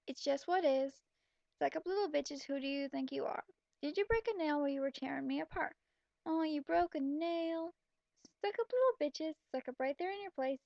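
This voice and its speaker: A woman's voice: sits high, gravelly, no echo. A young woman reading a story aloud with blunt, mocking, exasperated commentary, on a slightly dull home recording. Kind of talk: sarcastic reading with running commentary.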